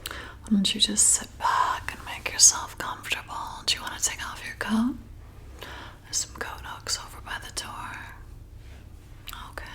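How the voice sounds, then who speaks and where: A woman playing a flirty, welcoming vampire bartender in an ASMR roleplay, whispering in a breathy, intimate, coaxing way. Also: mid-range voice, pushed and bright. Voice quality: breathy whisper with creaky edges. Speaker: ASMR performer.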